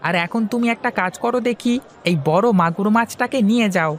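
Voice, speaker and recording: A man's voice: high-pitched, brisk, pitched above the performer's own voice, natural voice. A man voicing a friendly, persuasive village fish seller in a children's cartoon, with sing-song, coaxing patter. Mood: eager, persuasive. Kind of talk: Bengali children's cartoon dubbing.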